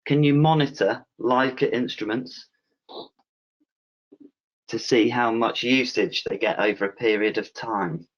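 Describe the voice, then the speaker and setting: A man hosting an online Q&A with a panel, reading out viewers' questions in a relaxed way with lots of "um"s. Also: mid-range voice, a little slow, pauses often. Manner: relaxed, filler-heavy, reads out questions. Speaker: webinar host. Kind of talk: casual Q&A moderating. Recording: dull lo-fi call audio.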